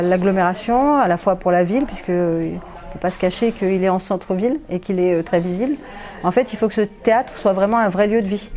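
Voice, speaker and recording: A woman giving unscripted interview answers as a theatre official, explaining in a halting, hesitant way. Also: mid-range voice, warm tone.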